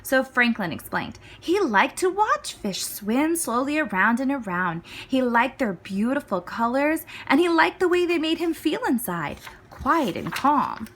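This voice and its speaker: A woman reading a children's story aloud as scripted narration, with clear, expressive phrasing. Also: sits high, measured pace, hardly any pauses, slight background noise. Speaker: storybook reader.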